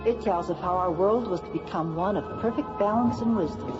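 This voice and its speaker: A woman's voice: lowish voice, a little fast, music in the background, close to an everyday voice. A woman playing a solemn, noble alien lady, speaking formally and precisely in old-time radio drama style. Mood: solemn, earnest. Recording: dull vintage radio recording.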